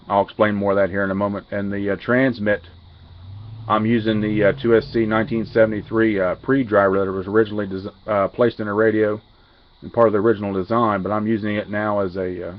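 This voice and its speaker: A man giving an unscripted technical walkthrough as a radio hobbyist, halting and pausing mid-sentence. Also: lowish voice. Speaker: amateur radio hobbyist demonstrating equipment. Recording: dull lo-fi audio, faint radio hiss.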